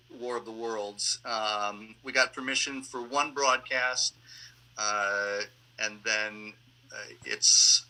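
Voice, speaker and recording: A man's voice: on the low side, bright, forceful tone, low-quality recording. A man speaking as a guest on an online panel, answering casually in a hesitant, piecemeal way. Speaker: panel guest, theatre radio play producer. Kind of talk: casual discussion, answering questions.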